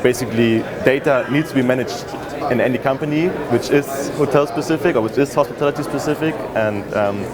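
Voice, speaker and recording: A man's voice: mid-range voice, brisk. A man with a German accent, interviewed about his work, speaking casually with plenty of ums and uhs. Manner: relaxed, many fillers like um and uh. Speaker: interviewee, business development head. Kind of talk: conversational interview. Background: crowd chatter.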